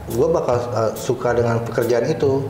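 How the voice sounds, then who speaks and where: A man's voice: mid-range voice, moderate pace, warm tone, a little hiss or hum. A man with a colloquial Jakarta Indonesian accent, chatting informally and telling anecdotes as an interview guest. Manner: informal, slangy storytelling. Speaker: interview guest, cyber security supervisor. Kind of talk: casual chat, telling anecdotes. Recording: roomy echo with faint music underneath.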